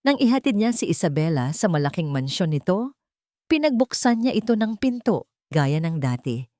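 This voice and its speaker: A woman narrates a dramatic romance in a measured storytelling style. Her voice sits a little low and moves widely in pitch. The sound is dry, with hardly any room in it. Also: big pitch swings, mellow, dry recording.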